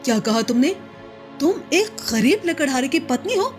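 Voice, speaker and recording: A woman's voice: high-pitched, very animated, normal speed, soft background music. A woman playing a proud, jealous and scheming chieftain's wife in a cartoon story, haughty and scornful in manner. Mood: disgusted. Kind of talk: Hindi moral-story cartoon dialogue.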